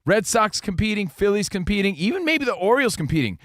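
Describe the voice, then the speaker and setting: A man co-hosting a sports radio show, talking in an energetic, jokey way about baseball and pop culture. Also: high voice, quick pace, no echo, clean studio sound. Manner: energetic, hyped, jokey rhymes. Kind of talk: casual sports talk show banter.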